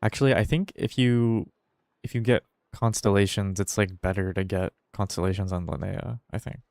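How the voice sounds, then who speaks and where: Low-voiced, laid-back man chatting on stream. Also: fairly even intonation, normal speed, creaky, darkish tone, dry recording, clean studio sound. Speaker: game streamer. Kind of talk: casual livestream chat while gaming. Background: faint music.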